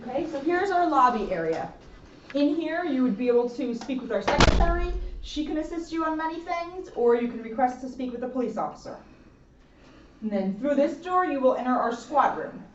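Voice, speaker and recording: High-voiced woman guiding a tour, roomy sound. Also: animated, brisk. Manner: plain, explanatory, addressing viewers directly. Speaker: police officer giving a station tour. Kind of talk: informal guided tour narration. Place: indoor rooms and hallways of a police station. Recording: roomy, muffled, noisy, distant camera microphone.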